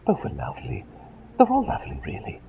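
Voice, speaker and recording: A man performing a whispered ASMR roleplay as a gentle, eccentric plague doctor, with courteous old-fashioned phrasing and a dithering, repetitive way of talking. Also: quick pace, muffled recording, background noise. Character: gentle, eccentric, absent-minded male plague doctor, polite and chatty.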